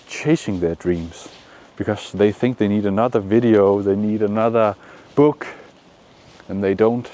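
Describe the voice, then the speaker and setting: A man from Denmark with a Danish accent, giving calm, encouraging motivational talk outdoors in the shade, with frequent pauses. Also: lowish voice. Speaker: manifestation coach. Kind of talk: casual motivational vlog talk.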